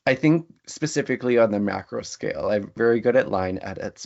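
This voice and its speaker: A man with a low voice and heavy vocal fry answers interview questions as a writer. He is reflective and measured in conversation, on slightly muffled audio. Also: a little mellow. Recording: dull, lo-fi audio.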